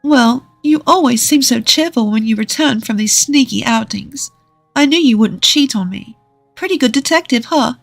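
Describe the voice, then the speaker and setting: A woman voicing a gentle, cheerful young wife in a narrated story, with a comforting, lightly teasing manner. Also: a little high, moderate pace, creaky, no room sound, faint music behind, voice pushed up. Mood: playfully teasing. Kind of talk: acted dialogue in a narrated story.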